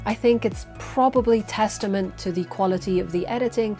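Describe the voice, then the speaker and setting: A woman's voice: mid-range voice, fairly quick, warm tone, faint music behind. A woman delivering a scripted film review in an earnest, opinionated way. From United Kingdom.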